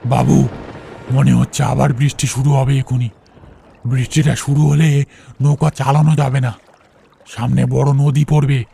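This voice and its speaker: A man's voice: medium pitch, flat delivery, unhurried, creaky, pauses often, mellow, a little hiss or hum. A man playing a fatalistic rustic night boatman in a horror audio story, deferential and terse in a resigned way. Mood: wary.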